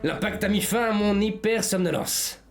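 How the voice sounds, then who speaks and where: A man's voice: sits high, moderate pace, soft background music. A man voicing an earnest, pompous toy space ranger with a slightly nasal voice and grandiose, formal delivery, as amateur cartoon dubbing. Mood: grave, puzzled.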